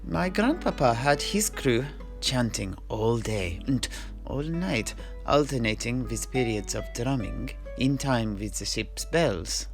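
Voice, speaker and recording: A man playing a genial old-world seafarer who recounts his grandfather's voyages in measured storyteller's phrasing. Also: medium pitch, animated. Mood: measured, explanatory. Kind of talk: in-character fantasy storytelling. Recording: music playing under the voice.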